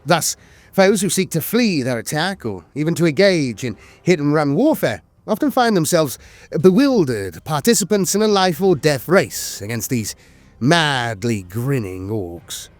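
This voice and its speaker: A man's voice: a little high, sing-song, measured pace, dry recording. A man reading scripted fantasy lore in a storyteller's delivery, as a narrator. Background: music.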